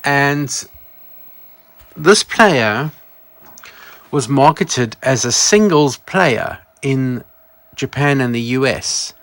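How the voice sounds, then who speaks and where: A man presents vintage gear in a casual, off-the-cuff way. His voice sits at an ordinary middle pitch. He rambles through details and often corrects himself mid-thought. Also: lively intonation, very slow, pauses often, a bit bright. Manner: rambling, self-correcting, informal. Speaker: presenter of a vintage electronics channel. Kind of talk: casual product show-and-tell.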